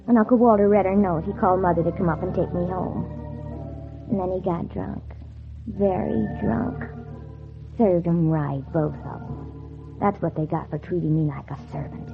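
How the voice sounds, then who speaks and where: A woman's voice: fairly low pitch, normal speed, gravelly, music in the background. An actress playing a spiteful, scheming teenage girl in a 1940s radio drama, by turns falsely innocent and coldly bitter. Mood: gloating. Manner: feigned sweet innocence turning coldly bitter. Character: scheming, spiteful teenage girl narrating her own revenge. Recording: muffled, tinny old 1940s radio recording.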